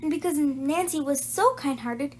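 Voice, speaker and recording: A woman reading a children's book aloud in an animated storytelling style. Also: high voice, normal speed.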